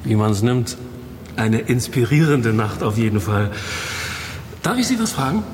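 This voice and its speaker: An actor playing a brooding, cryptic writer in a radio drama, musing aloud and speaking in riddles. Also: male voice, medium pitch, very animated, unhurried, darkish tone, slight background noise. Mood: probing. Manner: musing, probing, gives oracular answers. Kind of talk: German radio drama acting.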